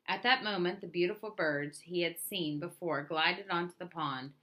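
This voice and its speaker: A woman reading a children's storybook aloud in an expressive, emphatic way. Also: fairly low pitch, normal speed. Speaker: storybook reader.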